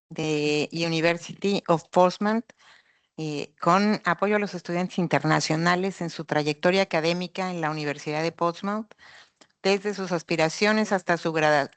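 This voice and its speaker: Low-voiced woman moderator introducing a speaker. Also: dry recording. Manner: hesitant, with "eh" fillers. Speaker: seminar moderator.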